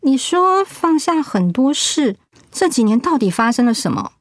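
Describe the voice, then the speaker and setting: An actress playing a kind, attentive middle-aged housewife talking in a radio drama. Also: female voice, sits high, very animated, normal speed, no echo, clean studio sound. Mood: concerned. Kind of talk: radio drama acting, conversational.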